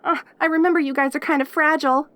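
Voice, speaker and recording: Woman playing a high-pitched, clingy demon girl. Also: steady tone, quick pace, fairly bright tone, dry recording. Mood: sheepish, tender. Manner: eager, stammering, giggly, devoted. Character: perky, lonely, clingy yandere demon girl, centuries old. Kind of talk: intimate audio roleplay addressed to listener.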